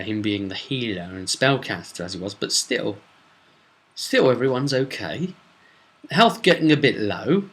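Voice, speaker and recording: A man's voice: mid-range voice, pushed and bright. A man hosting as a game master, casually recapping a tabletop session in a discursive way with loosely strung asides. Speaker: game master and video host.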